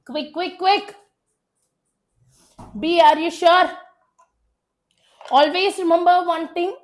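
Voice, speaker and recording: High-pitched South Indian woman teacher, hoarse. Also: fairly even intonation, slow pace. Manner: urging, repeats phrases for emphasis. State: hoarse from a cold. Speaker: chemistry teacher. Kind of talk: live online exam revision class.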